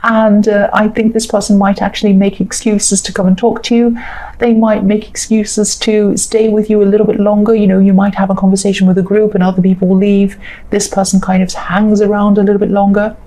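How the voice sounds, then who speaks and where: Mellow-voiced woman reading tarot cards. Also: mid-range voice, level tone, fairly quick, rough recording. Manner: repetitive, reassuring, thinking aloud with fillers. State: croaky, coughing voice. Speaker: tarot reader. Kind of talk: casual spoken tarot reading.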